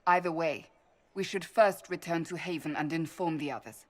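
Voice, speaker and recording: A woman's voice: low voice, moderate pace. An actress playing a resolute, earnest woman warrior in a fantasy game, speaking formally and seriously. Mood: concerned. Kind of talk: fantasy game cutscene dialogue.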